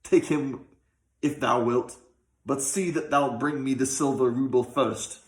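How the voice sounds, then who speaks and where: A man voicing a grasping, quick-tempered rich man in a folk tale, scolding in archaic speech. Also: medium pitch, fairly even intonation, lightly acted. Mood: angry, scolding. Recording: roomy, echoing sound.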